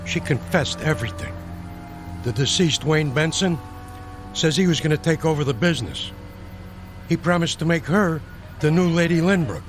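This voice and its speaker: An actor playing a matter-of-fact police lieutenant in a radio drama, casual and dry. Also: male voice, a little high, expressive, moderate pace, long pauses, soft background music, close to an everyday voice. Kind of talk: naturalistic radio drama acting.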